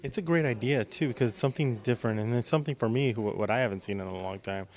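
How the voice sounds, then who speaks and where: A man's voice: medium pitch, racing, darkish tone. A man hosting a casual promotional interview in an encouraging, complimentary manner. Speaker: interview host.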